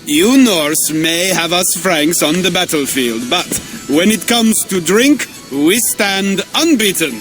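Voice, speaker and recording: A man's voice: high-pitched, lively intonation, slow, over music. An actor playing a boastful Frankish man with a French accent, teasing and theatrical.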